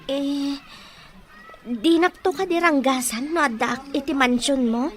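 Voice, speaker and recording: A woman's voice: high-pitched, measured pace, a bit bright. A Filipino woman playing a poor young woman, naive, wary and earnest, in an audio drama.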